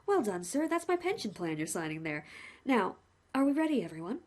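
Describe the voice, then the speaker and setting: A woman voicing a cheerful, chatty male hangman who talks shop politely and brightly. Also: big pitch swings, fairly quick. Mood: cheery. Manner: cheerful, chatty, higher-pitched.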